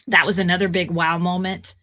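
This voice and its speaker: Podcast guest, woman in her forties, low-pitched and slightly raspy, rambling and chatty. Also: level tone, a little fast, bright, forceful tone. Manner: chatty, rambling, with fillers and restarts. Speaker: voice actor guest. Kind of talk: casual podcast interview.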